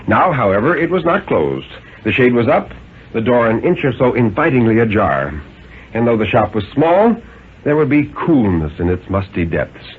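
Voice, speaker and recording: A man narrating a story as a 1950s radio dramatic reading, in a theatrical, measured, suspenseful manner. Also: fairly low pitch, phone-quality sound, slight background noise. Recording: thin, band-limited 1950s radio recording with music.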